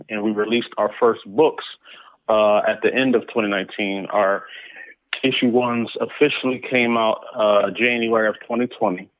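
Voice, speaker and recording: A man's voice: medium pitch, dry recording. A man speaking casually as a guest in an interview, in a conversational way. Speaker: interview guest, comic book creator. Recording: dry, tinny phone-like line.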